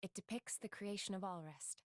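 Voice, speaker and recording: A woman's voice: mid-range voice, normal speed, no room sound, natural voice. Woman voicing a serene, courteous guide, dry sound. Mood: wistful. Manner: formal, courteous, composed. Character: polite, serene, ancient female guide. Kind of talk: video game cutscene voice acting.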